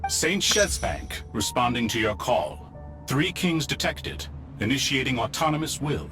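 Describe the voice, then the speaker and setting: Game casting: questioning ancient machine guardian, medium pitch, expressive swings, clear music underneath. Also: male voice, measured pace. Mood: mechanical. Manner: questioning, formal. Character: ancient mechanical saint, skeptical, literal-minded male guardian. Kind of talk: scripted video game cutscene acting.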